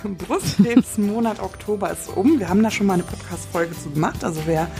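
A woman's voice: mid-range voice, lively intonation, a little fast, music in the background. A woman co-hosting a podcast, talking in a friendly, hesitant way with many fillers. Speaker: podcast co-host. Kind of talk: casual podcast interview.

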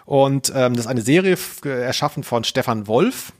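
A man's voice: mid-range voice, animated, moderate pace. A man co-hosting a podcast, chatting casually in a wry, joking way. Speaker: podcast co-host. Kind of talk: casual chat about audio dramas.

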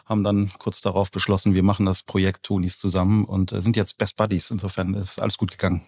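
A man's voice: low voice, little pitch movement, fast talker, a little mellow, breathy edge. A man speaking casually as a company founder being interviewed. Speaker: company founder, podcast guest.

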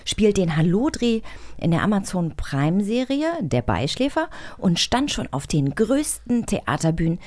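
Lively female podcast host. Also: mid-range voice, moderate pace. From island of Rügen, northern Germany. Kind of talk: casual podcast presenting.

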